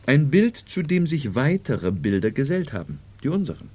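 Ein Schauspieler spielt in einem Hörspiel der Siebziger einen gelehrten, selbstsicheren Psychiater, der mit heiserer Stimme förmlich und dozierend spricht. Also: male voice, mid-range voice, big pitch swings, normal speed, mellow, slightly rough. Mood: lecturing. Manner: pedantic, lecturing, formal. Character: learned, self-assured male psychiatrist, explaining at length. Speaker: radio drama actor. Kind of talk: 1970s radio drama acting.

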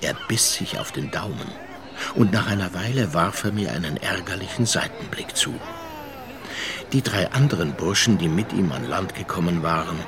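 A male actor playing a reflective, wry seaman who tells his memories in a radio drama. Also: deep voice. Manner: musing, storytelling, sardonic. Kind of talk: radio drama first-person narration. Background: music.

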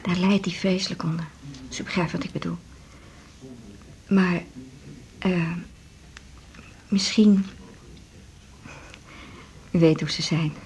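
A woman's voice: lowish voice, steady tone, slow, long pauses, darkish tone, a little hiss or hum. A middle-aged woman acting a nervous, confiding wife of a patient in a 1980s radio drama, talking in a homely, hesitant way. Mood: embarrassed, hesitant.

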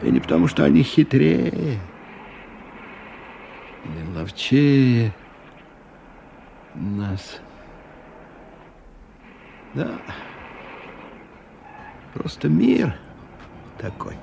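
An actor playing a weary, disillusioned middle-aged man thinking aloud in a radio drama, with halting, ruminative delivery. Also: medium pitch, very animated, vocal fry, dark tone, heavily acted, rough recording. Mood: despairing. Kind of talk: radio drama interior monologue. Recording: 1990 radio recording with background noise.

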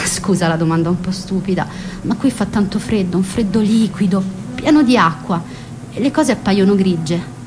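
A woman's voice: mid-range voice, moderate pace, music in the background, close to an everyday voice. A woman playing a wistful, passionate young woman in a scripted radio drama, speaking intimately and reflectively. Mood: nostalgic. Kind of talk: scripted radio drama monologue and letter reading.

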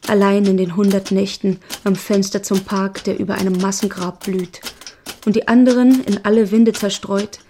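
Actress as a subdued, dark-voiced longing woman. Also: mid-range voice, little pitch movement, normal speed, slight background noise, lightly acted. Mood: wistful, aggrieved. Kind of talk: literary reading.

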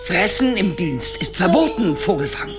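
A woman's voice: mid-range voice, huge pitch swings, normal speed. An actress playing an imperious, scheming witch queen in a radio play, commanding and silkily menacing. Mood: stern. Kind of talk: German radio play acting. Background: music.

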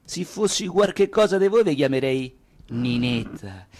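An actor playing a brash, cheeky suitor in a comic stage scene, with a Roman accent and a colloquial, teasing manner. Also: male voice, on the high side, animated, measured pace, darkish tone. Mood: bold, wheedling. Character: brash, cheeky middle-class-pretending male suitor, crude flirt. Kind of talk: theatrical comic scene acting.

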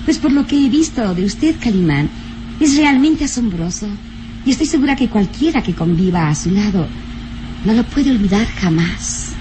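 A woman's voice: medium pitch, animated, normal speed, natural voice. An actress playing a romantic, independent-minded young lady in an old radio serial, coy, sighing and gushing. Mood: admiring, charmed. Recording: old radio recording, faint noise.

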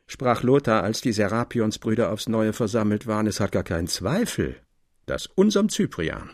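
A man's voice: on the low side, no echo, clean studio sound. A man reading scripted literary narration in the manner of an audio play.

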